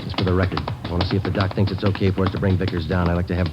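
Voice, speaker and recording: Man playing a fast, low, terse detective. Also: flat delivery, over loud music, natural voice. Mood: bitter, determined. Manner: clipped, businesslike, insistent questioning. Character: terse, dogged male police detective. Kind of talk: 1940s-50s radio drama acting. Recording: muffled, noisy vintage radio recording.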